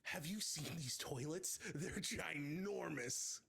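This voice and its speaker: A man acting a naive, excitable grown man in an elf suit at a table read of a comedy script, childlike, eager and guileless. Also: a little high, takes it slowly, vocal fry, husky, full character voice. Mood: timid, curious.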